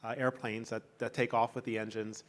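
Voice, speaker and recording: Male executive panelist, fast and even in pitch, polished delivery. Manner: brisk, polished, businesslike. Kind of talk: panel introduction.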